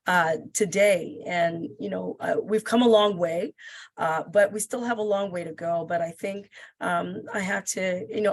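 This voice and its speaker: Candid woman in her fifties, lowish voice. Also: vocal fry. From Jamaica. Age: 50s. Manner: candid, measured, many "uh" pauses. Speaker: panelist. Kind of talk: panel discussion.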